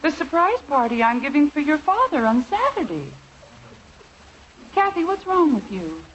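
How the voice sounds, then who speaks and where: Patient housewife mother: gentle, coaxing, fairly high and expressive, vintage radio with faint noise. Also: moderate pace, highs cut off. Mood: gently coaxing. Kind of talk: 1950s radio sitcom acting.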